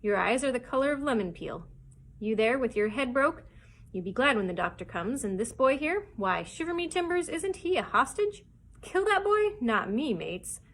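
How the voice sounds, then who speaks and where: A woman voicing a bold, wily male pirate captain in a storybook, with rough, cajoling sailor talk. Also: mid-range voice, expressive, moderate pace, fairly bright tone. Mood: blustering, persuasive.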